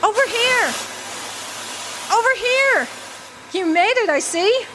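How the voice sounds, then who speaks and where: A woman's voice: very high voice, lively intonation, slow, noisy background, heavily acted. An actress playing a brash, wisecracking woman outlaw in a radio play. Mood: breezy, welcoming. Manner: blunt, wisecracking. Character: brash, sassy, hard-drinking dead woman outlaw. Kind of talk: stage radio drama acting.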